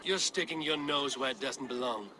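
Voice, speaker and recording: An actor playing a steadfast, grimly determined Norse warrior in a video game, speaking in blunt, terse phrases. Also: male voice, medium pitch, normal speed, noisy background, natural voice. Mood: blunt, menacing. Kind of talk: dramatic video game acting.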